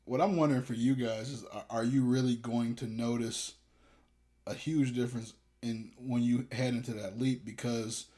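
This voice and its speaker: Rambling young dad podcast co-host. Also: male voice, mid-range voice, fairly even intonation, normal speed, warm tone. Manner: rambling, hesitant, self-deprecating jokes. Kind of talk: casual chat.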